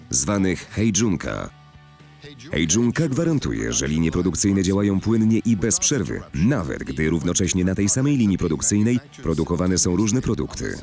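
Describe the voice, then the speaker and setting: A man with a deep, low voice narrates a corporate presentation. His delivery is formal and measured, with lively rises and falls in pitch. Soft music plays behind his studio-recorded voice. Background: faint music.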